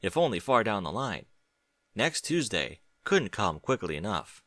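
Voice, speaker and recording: A man reads a story aloud in a low, bright voice that rises and falls with animated expression. The recording is close and dry, with no room echo. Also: a little slow. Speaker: fan fiction reader.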